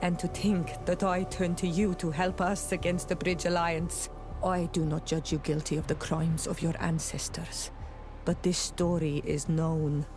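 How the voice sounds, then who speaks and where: A woman's voice: fairly low pitch, little pitch movement, a little slow, music in the background, lightly acted. An actress playing a solemn, fair-minded islander woman in a fantasy game. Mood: grave. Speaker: voice actor in a fantasy video game. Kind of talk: game dialogue.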